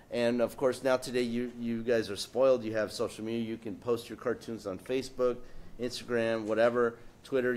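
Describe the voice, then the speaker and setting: A man speaking on a live panel, rambling with many fillers and restarts and joking often. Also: medium pitch. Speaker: panelist, political cartoonist. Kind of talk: casual panel talk. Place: event space with audience.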